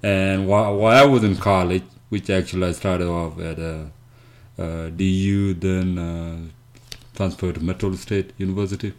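A man from South Sudan telling his life story as an interview guest, speaking haltingly with many fillers and restarts. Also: low-pitched, fairly even intonation. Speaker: guest, nonprofit founder.

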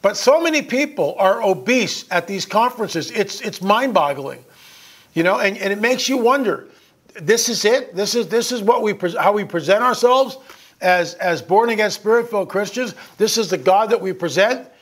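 A man in his sixties with a notably high-pitched voice gives informal, sermon-like commentary. He talks to listeners in a folksy, conversational way, pressing his points with emphasis and rhetorical questions. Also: lively intonation, moderate pace, fairly bright tone. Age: 60s.

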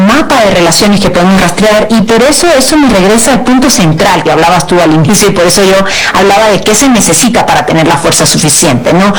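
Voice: mid-range voice, low-quality recording. A Cuban-born columnist being interviewed, laying out political analysis in long, carefully qualified arguments. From Cuba. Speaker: columnist and guest.

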